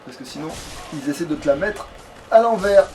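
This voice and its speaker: A man's voice: a little high, animated, brisk, mellow, slight background noise. A man chatting casually as he plays a video game, with crude slang and frequent swearing. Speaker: let's player at the controls. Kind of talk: casual gaming chat while playing.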